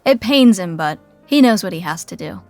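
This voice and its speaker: A woman's voice: fairly low pitch, animated, moderate pace, a little mellow, no room sound, faint music behind. A woman narrating a scripted sitcom in the present tense.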